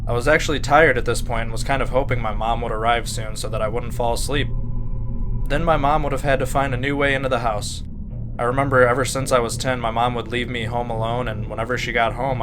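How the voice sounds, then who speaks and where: Male narrator, mid-pitched, scripted creepy-story read, loud music bed. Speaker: podcast host reading a horror story.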